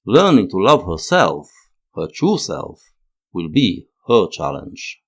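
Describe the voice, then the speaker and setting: Low-voiced man, very animated narration. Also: slow pace, vocal fry. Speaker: video essay narrator. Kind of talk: scripted analytical narration of a TV series.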